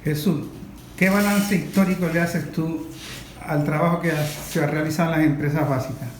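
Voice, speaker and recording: A man's voice: on the high side, steady tone, moderate pace, a little hiss or hum. A man with a Venezuelan accent hosting a news talk show, presenting topics and putting questions to guests. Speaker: host. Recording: roomy sound with faint music.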